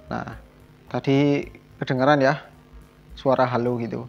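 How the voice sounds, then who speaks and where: A man talks through a hands-on demonstration in a casual, explanatory way, at an average pitch. He goes step by step like a hobby vlogger. The recording is a little muffled and lo-fi, with music in the background. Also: level tone, unhurried, gravelly. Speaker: vlogger demonstrating a product installation. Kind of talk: casual hands-on tutorial narration. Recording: dull lo-fi sound, music behind.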